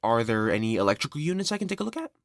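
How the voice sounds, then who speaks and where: A woman playing an excitable, cheerful young monster gamer in an audio roleplay, eager and supportive. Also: very deep voice, racing, no echo, studio quality, exaggerated voice. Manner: eager, enthusiastic.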